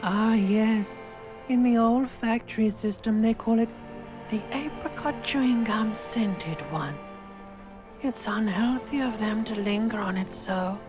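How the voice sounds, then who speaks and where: An eerie voice from inside the mind, acted by a woman, fairly high and unhurried. It insinuates and needles with theatrical cruelty over clearly audible music. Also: lightly acted. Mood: sly, needling. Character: eerie limbic-system voice, sly, needling, knowingly cruel. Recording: music behind the voice.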